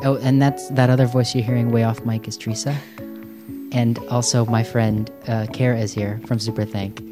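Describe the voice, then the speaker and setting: A man's voice: mid-range voice, level tone, moderate pace, music in the background. A man from the United States hosting a casual podcast, friendly and chatty, saying "like" a lot. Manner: friendly, chatty, uses "like" often. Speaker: host.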